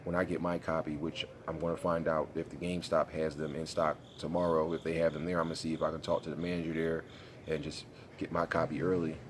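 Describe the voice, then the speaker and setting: Very deep-voiced congested young man. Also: little pitch movement, fast talker, slight background noise. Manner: laid-back, rambling, slangy. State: congested, sniffly. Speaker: gaming YouTuber. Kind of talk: casual vlog commentary.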